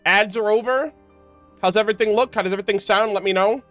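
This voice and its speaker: A man's voice: high voice, quick pace, a bit bright, dry recording. Male game streamer, informal and slangy, talking to chat over game music. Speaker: live streamer, gamer. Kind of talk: casual live game-stream commentary to chat.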